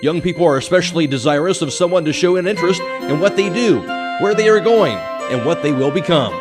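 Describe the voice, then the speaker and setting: An American man narrates a radio commercial from a script. His voice is notably high for a man, and music plays clearly underneath. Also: lively intonation, a little fast. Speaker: radio ad announcer. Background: music bed.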